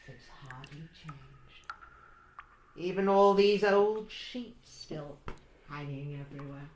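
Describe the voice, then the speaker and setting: A vain, murderous countess in a horror audio play. Her voice sits low for a woman, with imperious disdain and a theatrical, haunted edge. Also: takes it slowly. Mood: haunted, hushed. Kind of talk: audio horror drama acting.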